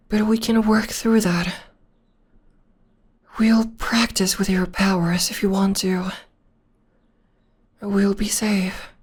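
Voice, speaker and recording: A young woman voicing a tender, protective witch girlfriend in an ASMR roleplay, speaking softly and often whispering close to the microphone. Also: mid-range voice, husky. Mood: soothing. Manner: soft and soothing, often whispering. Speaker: voice actor.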